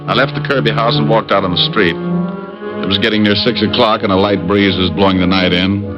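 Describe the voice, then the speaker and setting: A dull vintage radio recording carries a deep-voiced American gumshoe, played by a man. He is tough and determined, talking in dry slang like a classic radio detective. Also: steady tone, fairly quick, over loud music, close to an everyday voice. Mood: matter-of-fact narration. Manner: hard-boiled slangy, dry wisecracks. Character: tough wisecracking male private detective, doggedly determined. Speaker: actor playing the lead detective and narrator. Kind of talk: 1940s-50s radio detective drama acting.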